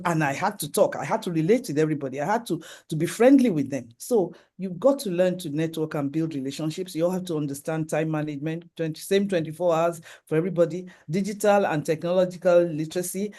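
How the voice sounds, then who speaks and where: A middle-aged conference speaker with a Nigerian accent, voice mellow and dark in tone. Talks earnestly through lists of points, restarting sentences now and then, pitched in the middle range. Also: fast talker, hardly any pauses. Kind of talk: presentation with slides.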